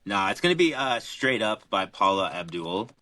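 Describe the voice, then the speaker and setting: A young man co-hosting a casual podcast, chatting easily and drawing out his picks with fillers. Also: on the low side. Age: young adult. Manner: relaxed, drawn-out picks with fillers. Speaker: podcast co-host.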